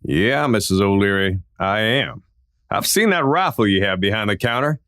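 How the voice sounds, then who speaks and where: Man playing a folksy, animated western sheriff. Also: fairly low pitch, normal speed, smooth. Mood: firm. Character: plainspoken, steady small-town western sheriff. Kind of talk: old-time western radio acting.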